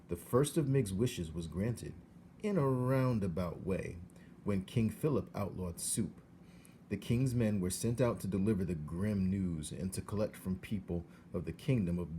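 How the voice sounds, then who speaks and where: Low-voiced man reading a storybook aloud. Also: a little hiss or hum. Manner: steady, expressive reading. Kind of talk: read-aloud storybook narration.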